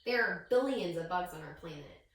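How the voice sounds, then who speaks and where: A woman reading a picture book aloud to young children as a teacher, with expressive storytelling and questions to her listeners. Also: on the low side, normal speed. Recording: very echoey room, distant microphone.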